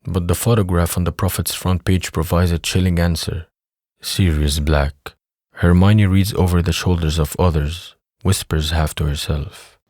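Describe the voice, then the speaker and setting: Deep voiced guy narrating an audio play from a script, very dry recording. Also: fairly even intonation, moderate pace, a little mellow, dry recording.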